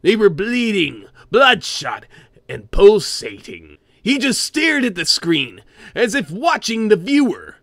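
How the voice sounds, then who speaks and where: A man's voice: high voice, big pitch swings, takes it slowly, fairly bright tone, dry recording, clean studio sound, heavily acted. A man voicing an old cartoon crab sailor, narrating a horror story in a theatrical, salty seafaring manner. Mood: ominous storytelling.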